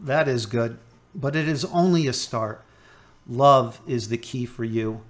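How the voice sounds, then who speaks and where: A man playing a calm hospital chaplain. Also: mid-range voice, unhurried, lightly acted. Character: patient male hospital chaplain, gently probing.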